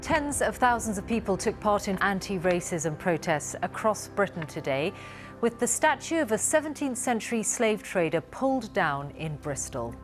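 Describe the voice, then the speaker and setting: News presenter, lively formal delivery. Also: female voice, medium pitch, moderate pace, faint music behind. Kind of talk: broadcast news reading.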